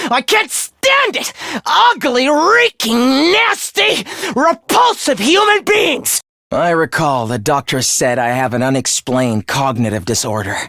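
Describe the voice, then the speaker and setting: A man's voice: on the high side, dry recording, clean studio sound, natural voice. A man playing a young student in a video game, earnest on the surface and a cold, misanthropic killer beneath, theatrical and articulate. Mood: bitter, contemptuous. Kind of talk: dramatic anime-style video game voice acting.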